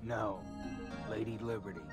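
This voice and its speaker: A man playing a gruff, deadpan cyborg army sergeant in an action video game. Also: on the low side, normal speed, lightly acted. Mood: gruff, resolute. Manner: terse, deadpan, tough-guy. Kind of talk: 1980s action-hero game acting. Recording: loud music behind.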